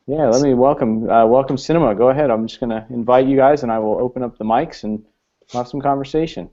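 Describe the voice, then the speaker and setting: A man's voice: medium pitch, quick pace, dark tone. A man hosting a live online panel discussion, talking loosely with frequent fillers. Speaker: host. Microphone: video call. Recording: slightly muffled video-call audio.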